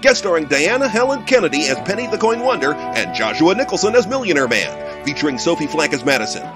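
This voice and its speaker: A man's voice: fairly high pitch, sing-song, fast talker. A man narrating scripted closing credits for an audio show, in an announcer's style. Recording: music bed under the voice. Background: music.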